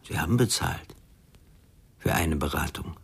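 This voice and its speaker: An actor playing an unflappable, probing psychologist in a radio crime drama, speaking formally and in a measured way with a husky voice. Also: male voice, very deep voice, expressive, full character voice. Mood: cool, businesslike. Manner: measured, formal, parrying with questions. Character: unflappable, probing male psychologist turned counsellor.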